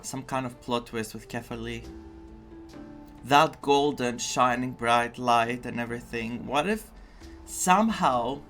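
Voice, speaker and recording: A man with a medium-pitched voice talks casually and earnestly as a game streamer. He reflects emphatically, with faint music behind him. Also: a bit bright. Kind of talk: casual reaction commentary.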